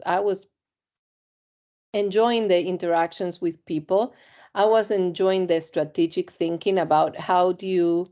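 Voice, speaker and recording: A middle-aged woman from Argentina, interviewed about her career, speaks in a measured, reflective way with frequent ums. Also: fairly low pitch, darkish tone, lo-fi sound. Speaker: compliance executive, interview guest.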